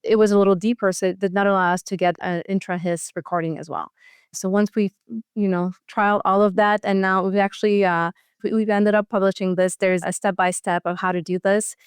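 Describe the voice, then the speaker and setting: A woman's voice: medium pitch, level tone, brisk, clean tone, no room sound, clean studio sound. A woman speaking as a cardiologist in an interview, explaining a procedure in a conversational style.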